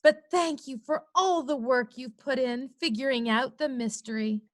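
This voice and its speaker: High, girlish rich daughter, played by a woman. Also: moderate pace, close to an everyday voice. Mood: excited. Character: naive, girlish rich daughter, a noir client on phone. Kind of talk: comedy radio play dialogue.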